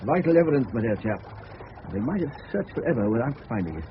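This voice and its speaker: An actor playing a brisk, wry, well-spoken English gentleman investigator in a radio drama. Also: medium pitch, sing-song, lightly acted. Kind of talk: 1970s radio drama acting. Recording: muffled, noisy old radio broadcast.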